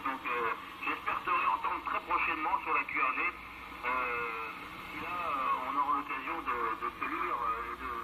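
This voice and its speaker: A man from France chatting casually as an amateur radio operator over a radio link, halting and repeating words for emphasis. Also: on the high side. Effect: two-way radio transmission. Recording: very noisy shortwave radio reception.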